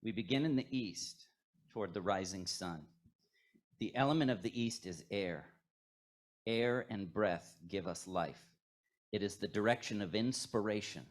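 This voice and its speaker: Low-voiced man leading a guided meditation. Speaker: worship leader at a fellowship. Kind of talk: guided meditation sermon, scripted and poetic.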